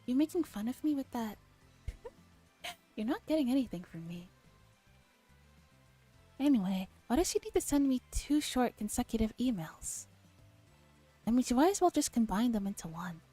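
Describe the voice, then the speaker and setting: Female game streamer, relaxed mellow tone, playful dialogue read-aloud for ASMR, faint music behind. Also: fairly high pitch, moderate pace, pauses often. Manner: playful, acts out dialogue while reading. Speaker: streamer reading a visual novel aloud.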